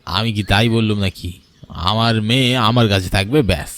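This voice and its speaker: A man's voice: fairly low pitch, fairly even intonation, moderate pace, a bit bright, lightly acted. A man playing a stubborn, doting landlord father in a cartoon story, plaintive and obstinate. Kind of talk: Bengali cartoon story dialogue.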